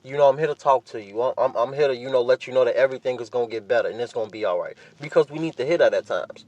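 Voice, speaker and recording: A man's voice: medium pitch, racing, a little mellow, lo-fi sound. An American man hosting a podcast solo, talking casually and giving his opinions to listeners in slangy, often profane language. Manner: informal slangy talk with frequent profanity. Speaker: podcast host.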